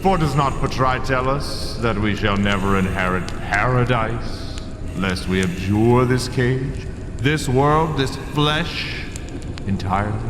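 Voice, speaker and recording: A man playing a solemn, zealous cult preacher in a video game, delivering a sermon in grandiose, archaic oratory. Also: medium pitch. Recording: echoey long reverb, music behind.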